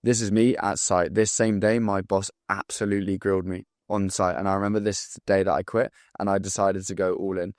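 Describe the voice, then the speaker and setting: A man in his twenties from England with a southern English accent, talking straight to camera as a sales coach in a blunt, profane, hyped-up motivational pitch. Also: little pitch movement, brisk, few pauses, a little mellow, no room sound, clean studio sound. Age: 20s. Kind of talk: direct-to-camera pitch video.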